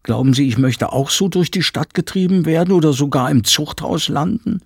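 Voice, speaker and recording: Man playing anxious housemaid woman, higher urgent voice, husky, dry audiobook sound. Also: moderate pace, darkish tone, no echo. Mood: fearful, urgent. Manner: urgent, raised and fearful. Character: anxious housemaid woman aged thirty-eight. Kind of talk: audiobook character voice.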